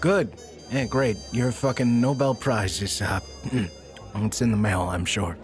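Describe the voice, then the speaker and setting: A man's voice: on the low side, steady tone, slow, over music. An actor playing a bitter, drunken, grieving father in an audio drama, sarcastic, profane and weary. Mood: curt, sarcastic.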